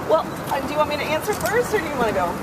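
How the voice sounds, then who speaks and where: A woman's voice: sits high, big pitch swings, racing. A woman speaking for an advocacy group at a press conference, repeating questions and filling gaps with many fillers and restarts. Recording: loud traffic and crowd outdoors.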